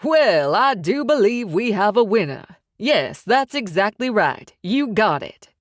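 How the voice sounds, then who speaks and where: Woman putting on a smug mafia boss guy, amused drawl, loud and pushy, dry sound. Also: mid-range voice, sing-song, unhurried, dry recording, pitched above the performer's own voice. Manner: amused, drawling, sing-song menace. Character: smug male mob boss, older, menacing.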